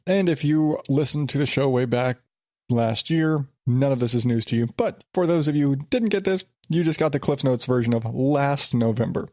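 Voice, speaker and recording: A man's voice: medium pitch, moderate pace, darkish tone, no echo, studio quality. Stuffy-voiced man rambling on his podcast. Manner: rambling, exasperated, many restarts. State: stuffed up with a sinus infection. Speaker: podcast host. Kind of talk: casual solo podcast.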